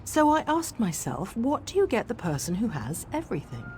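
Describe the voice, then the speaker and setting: A woman's voice playing a wry young dark elf explorer, speaking casually in scripted game dialogue. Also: medium pitch, wildly swooping pitch, moderate pace, a little hiss or hum.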